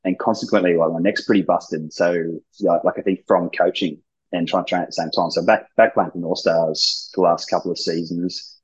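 A man talking in a laid-back, rambling way, swearing casually during a relaxed interview. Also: low voice, normal speed, breathy edge. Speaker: gym founder and ice hockey player, guest. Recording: dull lo-fi sound.

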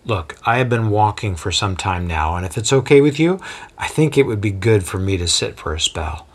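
A man voicing a gentle traveling apostle who speaks calmly and deferentially. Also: fairly low pitch, moderate pace. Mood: measured. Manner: calm and deferential.

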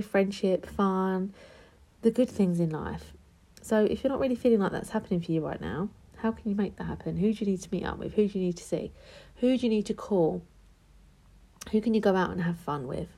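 A woman's voice: on the low side, background noise. A woman hosting an unedited tarot podcast, sounding nasal from a blocked nose, chatty and affectionate with listeners, sometimes dropping to a whisper. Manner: chatty, affectionate, calls listeners love and darling; drops to a whisper at times. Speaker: podcast host giving tarot readings. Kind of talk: casual unedited podcast chat. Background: card shuffling.

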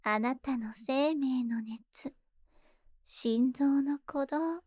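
A woman voicing a lovesick, obsessively devoted female spider monster in an ASMR voice drama, speaking in soft, intimate, doting tones. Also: sits high, husky, no echo, clean studio sound, heavily acted. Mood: intimate longing. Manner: slow, intimate, doting feminine speech. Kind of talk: Japanese ASMR situation voice drama.

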